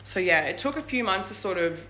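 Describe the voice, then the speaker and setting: A woman's voice: medium pitch, expressive, a little fast, very noisy. A young Australian woman from New South Wales giving casual advice in a vlog, chatty and full of fillers like "like" and "um". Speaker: vlogger.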